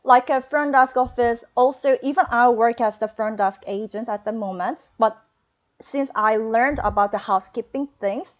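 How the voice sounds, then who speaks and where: A woman with a Korean accent, a former student answering questions in a webinar interview, speaking haltingly and pausing to search for words. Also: fairly high pitch.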